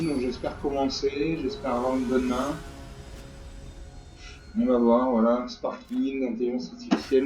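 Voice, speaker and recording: A hoarse man hosting a gaming channel, talking through a card game in a rambling, repetitive way with many fillers. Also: medium pitch, dark tone. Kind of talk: casual game commentary. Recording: distant mic, echoey room, dull lo-fi sound, faint music.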